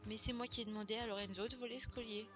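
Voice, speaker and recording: A woman's voice: on the high side, fairly even intonation, rapid-fire, lightly acted. A woman reading the lines of a scheming young bride in a video game, with game music playing behind.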